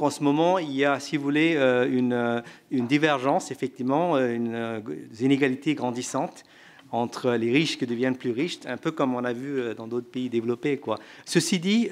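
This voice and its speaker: A man on a conference panel answering an audience question, hesitant and full of fillers and restarts. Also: mid-range voice.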